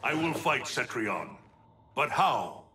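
A man's voice: medium pitch, very animated, slow, a crowd in the background. An actor playing an earnest, troubled male thunder god seeking counsel. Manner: earnest, pleading. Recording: distant roomy game cutscene, music.

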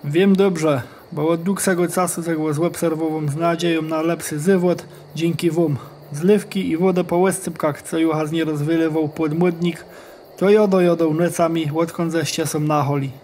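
Humble highland bear played by a man, higher-pitched. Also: fairly even intonation, moderate pace, darkish tone, slight background noise, lightly acted. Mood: humble, earnest. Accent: Polish Goral highland. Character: big shaggy hungry orphaned bear, humble and friendly. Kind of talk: audio play dialogue in dialect.

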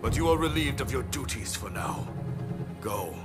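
A man playing an imperious, controlled lord in a video game, formal and measured. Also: medium pitch, animated, natural voice. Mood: cold, commanding. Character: stern, imperious, coolly controlled Japanese lord. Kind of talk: dramatic video game cutscene acting. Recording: loud music underneath.

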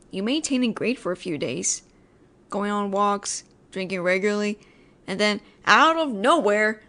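A woman's voice: mid-range voice, pushed and bright. A woman voicing a judgemental tsundere female ghost in an audio roleplay, sarcastic and nagging but secretly caring, speaking directly to the listener. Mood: judgemental, wry.

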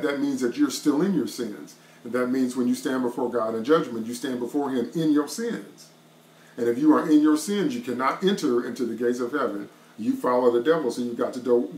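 A middle-aged man preaching an online devotional sermon to a webcam from a small wood-paneled room, with an emphatic, repetitive cadence. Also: mid-range voice. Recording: roomy echo.

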